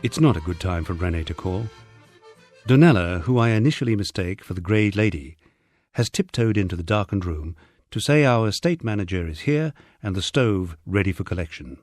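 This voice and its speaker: Expressive, low-voiced man narrating an audiobook. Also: moderate pace, no room sound, soft background music. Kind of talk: scripted first-person memoir narration.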